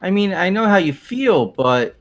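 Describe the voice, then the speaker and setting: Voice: medium pitch, big pitch swings, measured pace, faint music behind. A performer playing a blunt, jumpy young student in a fan-voiced game, with informal, exasperated delivery. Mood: grumbling.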